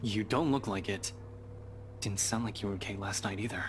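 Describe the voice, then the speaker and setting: A man's voice: lowish voice, moderate pace, slight background noise, natural voice. An actor playing a teasing young male detective in a visual novel game, brusque but familiar. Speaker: video game character. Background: music.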